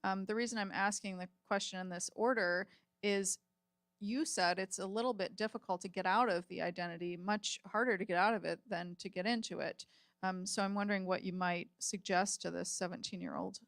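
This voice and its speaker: A woman's voice: mid-range voice, fairly even intonation, fairly quick, gravelly, dry recording, studio quality. A woman moderating a live panel discussion, chatty and full of ums and restarts as she reads audience questions. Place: hotel event room with live audience.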